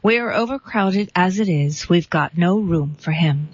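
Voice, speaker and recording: A woman reading the lines of Ham, a gruff, grumbling young son of Noah, in a poem. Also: high-pitched, lively intonation, measured pace. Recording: dry, dull lo-fi sound.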